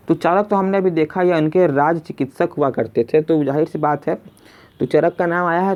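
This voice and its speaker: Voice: mid-range voice, lo-fi sound. A teacher narrating an exam lesson in question-and-answer form, repeating questions and addressing listeners as friends. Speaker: quiz teacher.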